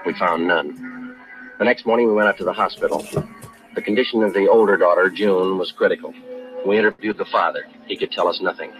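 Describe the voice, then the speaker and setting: A stoic American police sergeant played by a male actor in a vintage radio crime drama. He speaks in a low voice at a brisk clip, matter-of-fact and deadpan, with music in the old broadcast. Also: lightly acted, rough recording. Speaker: police detective narrator. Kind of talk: 1950s radio police drama acting, clipped narration.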